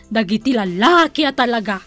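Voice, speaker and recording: An actress with an Ilocano accent playing a vain, playful, flirtatious auntie in a radio comedy drama. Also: female voice, on the high side, expressive, a little fast, a bit bright, soft background music. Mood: huffy, smitten.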